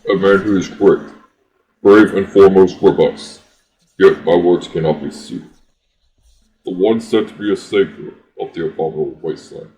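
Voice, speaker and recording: A man's voice: sits low, moderate pace, warm tone, faint music behind, natural voice, poor audio. A man acting as a cryptic, solemn prophetic stranger in a theatrical game monologue, speaking portentously. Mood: solemn, ominous.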